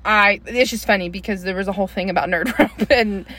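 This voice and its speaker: A woman's voice: medium pitch, brisk, pushed and bright. A woman co-hosting a podcast, chatting casually with friends, laughing a lot and talking straight to the listeners. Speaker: podcast co-host. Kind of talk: casual chat among friends.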